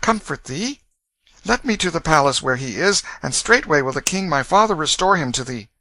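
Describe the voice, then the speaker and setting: A man's voice: a little high, very animated, normal speed, pushed and bright, dry recording, voice pushed up, full character voice. A man voicing a proud young boy prince in a storybook, with lofty, formal archaic speech. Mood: gentle, reassuring. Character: proud, indignant young boy prince, gentle but commanding. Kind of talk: dramatic storybook reading.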